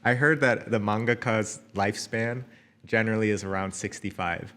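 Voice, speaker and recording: A man's voice: fairly low pitch, fairly even intonation, normal speed, a bit bright. Friendly young male podcast host. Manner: friendly, laughs often, goes off on tangents. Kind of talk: casual interview chat.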